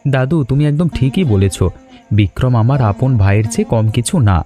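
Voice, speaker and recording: A man voicing an earnest, devoted young businessman in an animated story. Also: mid-range voice, moderate pace, faint music behind, close to an everyday voice.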